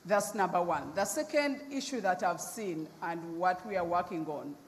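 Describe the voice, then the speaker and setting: A middle-aged woman with a naturally deep voice tells her story on a conference panel. She speaks loudly and forthrightly. Her voice echoes through a large hall with a long reverb over faint background noise. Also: expressive, moderate pace. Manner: loud, emphatic, forthright. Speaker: panelist, nonprofit founder.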